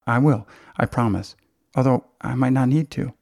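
A man's voice: mid-range voice, steady tone, moderate pace, gravelly, darkish tone, no room sound, clean studio sound. A man voicing a frightened, skittish mother in an audiobook, breathless and stammering as her words tumble out. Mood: meek, reassuring. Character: frightened, frantic mother of a college student.

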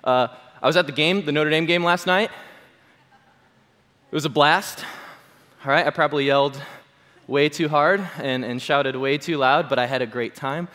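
A man, a pastor, giving a chatty Sunday sermon with a slightly hoarse voice and many fillers. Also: on the high side, normal speed, pauses often, a bit bright. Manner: chatty, self-deprecating, full of fillers. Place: church hall. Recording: long hall reverb.

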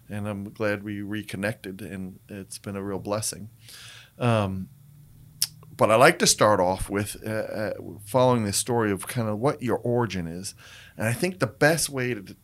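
A man hosting a casual interview who rambles through his questions with many fillers and restarts. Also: fairly low pitch. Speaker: host.

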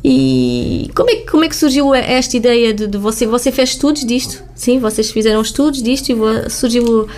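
A woman's voice: on the high side, a little fast, hardly any pauses, a little mellow. A woman interviewing someone in a casual, chatty way, affirming as she goes.

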